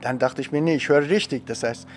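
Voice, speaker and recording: A man recounting a personal experience in an interview, halting and repeating phrases as he goes. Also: medium pitch, slight background noise. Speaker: education expert and trainer.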